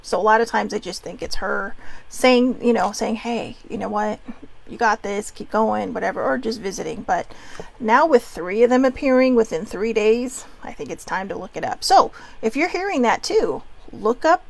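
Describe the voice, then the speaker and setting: A woman's voice: a little high, expressive, normal speed, vocal fry. A woman from Hawaii in her thirties, doing a casual oracle card reading from home, chatty and rambling with frequent asides.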